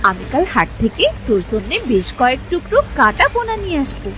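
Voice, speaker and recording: A woman's voice: fairly high pitch, big pitch swings, quick pace, a bit bright, a little hiss or hum. A woman voicing a responsible, caring village elder sister, fretful and motherly, in a cartoon. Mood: scolding.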